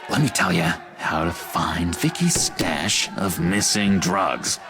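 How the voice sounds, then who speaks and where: A man playing a theatrical, sinister, giggly asylum madman with a creaky, drawn-out delivery in a video game. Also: low voice, expressive, over music, crowd noise, exaggerated voice. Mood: gleeful, manic. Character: gleefully deranged, theatrical asylum inmate, sinister and obsessive. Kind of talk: video game dramatic dialogue.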